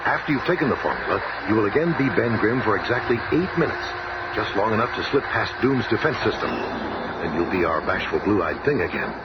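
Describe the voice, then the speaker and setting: A man plays an assured scientist leader of a superhero team, explaining earnestly with lively intonation. The vintage radio drama sound is muffled and very noisy. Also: fairly low pitch, a little fast, lightly acted. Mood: measured, assured. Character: calm, authoritative male scientist team leader.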